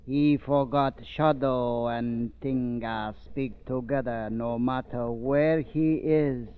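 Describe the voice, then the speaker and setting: A devoted servant played by a man in a vintage radio drama, speaking broken English extremely slowly and reverently. The old recording is thin and narrow, with faint noise. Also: mid-range voice, steady tone, lightly acted. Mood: solemn, devoted. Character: Tenga, a loyal, solemn male servant.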